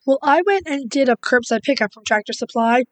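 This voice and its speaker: Hoarse woman, co-hosting. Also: high-pitched, expressive, a little fast. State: hoarse, recovering from illness. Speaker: podcast co-host. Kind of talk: casual chat. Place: small home studio, at a table.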